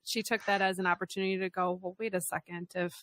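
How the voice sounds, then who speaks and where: A woman's voice: on the low side, level tone, fast talker, fairly bright tone, no room sound. A woman co-hosting a studio podcast in casual chat, laughing often and restarting her sentences. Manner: giggly, chatty, trails off and restarts often. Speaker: podcast co-host.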